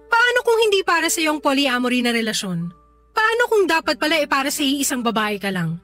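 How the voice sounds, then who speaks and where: A woman's voice: high voice, big pitch swings, brisk, a bit bright, soft background music. A Filipino actress playing an insistent, pleading, scheming girlfriend in an emotional Tagalog radio drama. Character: pleading, scheming first girlfriend in a polyamorous household.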